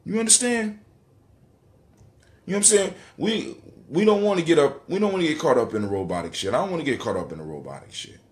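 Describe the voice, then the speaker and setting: A middle-aged man with an American accent and a fairly high voice talks casually to his livestream. He is conversational and loose, repeating phrases to drive them home and swearing freely. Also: very animated, fast talker. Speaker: livestream host. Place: dim living room indoors. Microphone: phone or webcam at arm's length.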